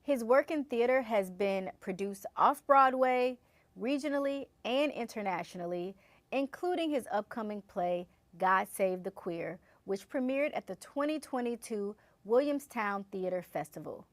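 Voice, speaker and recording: A woman's voice: on the high side, animated, unhurried, dry recording. A woman hosting an event, reading scripted announcements and introductions in a polished, presentational way. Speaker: event host introducing a keynote speaker.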